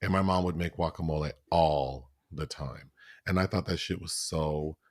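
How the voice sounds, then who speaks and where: A man with a low voice and dry, close sound, chatting casually as a podcast co-host. He talks loosely, with lots of fillers and restarts. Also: normal speed, dry recording. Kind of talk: casual film review chat.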